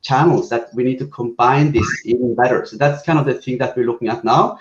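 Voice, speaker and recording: A man's voice: mid-range voice, fairly quick, a little hiss or hum. Man, hesitant business guest, roomy echo, dull muffled sound. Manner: hesitant, many fillers and restarts. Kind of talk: conversational panel answer. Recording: roomy muffled video call audio.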